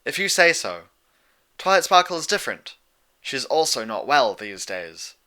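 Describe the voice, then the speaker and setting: A man voicing a proud, sharp-tongued younger-sister pony princess of the moon, speaking in formal, old-fashioned phrases for a storybook reading. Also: mid-range voice, sing-song, normal speed, pitched above the performer's own voice. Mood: concerned, firm. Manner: formal, archaic, challenging phrasing.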